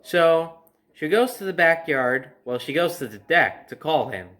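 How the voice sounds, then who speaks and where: A young man tells a personal story in a casual, conversational way. His voice sits a little high for a man and moves up and down with lively swings. He leans on key phrases for emphasis. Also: a little slow. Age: young adult. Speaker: storyteller and host. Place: indoor room by a window at home.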